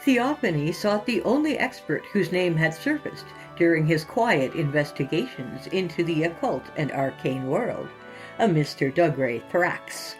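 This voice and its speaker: Low-pitched woman narrating horror theatrically. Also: animated, measured pace, faint music behind, poor audio. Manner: theatrical, sinister storytelling delivery. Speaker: podcast host and narrator of horror fiction. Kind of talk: scripted horror podcast narration.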